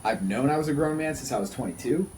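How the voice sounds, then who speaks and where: A man's voice: medium pitch, expressive, quick pace, darkish tone, slight background noise. A man in his thirties talking casually and earnestly as a podcast host, often repeating himself for emphasis. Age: 30s. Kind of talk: casual conversational podcast talk.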